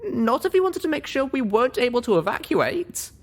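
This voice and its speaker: A man's voice: extremely high pitch, sing-song, a little fast, pitched above the performer's own voice, heavily acted. A man voicing a small, anxious young pony heroine with a fretful, earnest manner in an audiobook story. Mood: worried, tense.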